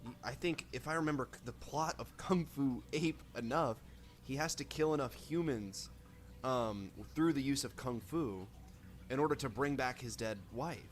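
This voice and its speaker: A man playing an improvised tabletop game, speaking in a halting, thinking-aloud way. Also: mid-range voice. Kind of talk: casual improvised role-play.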